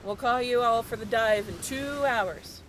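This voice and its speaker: Brief: actress as cheerful young female tour-boat crew, higher pitched, casual and chatty, faint background noise. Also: a little slow, fairly bright tone. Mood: brisk, cheerful. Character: friendly, upbeat young woman, dive crew member on a tour ship. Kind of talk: modern-day roleplay audio drama, casual.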